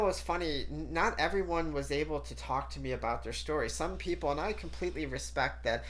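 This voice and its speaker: A woman's voice: low-pitched, poor audio. Woman host, stuffy nasal voice from a cold, chatty, lots of "you know"s. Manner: chatty, laughs often, many fillers. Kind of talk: casual talk show chat.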